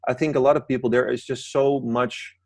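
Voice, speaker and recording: A man's voice: medium pitch, level tone, brisk, a little mellow, no echo. A man from the Netherlands with a Dutch accent, speaking English as a second language as a guest analyst, explaining while searching for words. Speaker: gold market analyst guest. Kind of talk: interview conversation.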